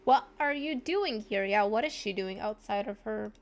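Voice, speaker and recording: A woman's voice: medium pitch, expressive, normal speed. A woman giving casual commentary as she streams her gameplay. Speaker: game streamer. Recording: game music behind her voice.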